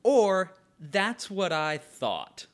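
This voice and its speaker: A man's voice: high-pitched, very animated, drawn-out words. A man in his late twenties from Florida, a podcast co-host telling a story in casual chat and swearing freely. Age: late 20s.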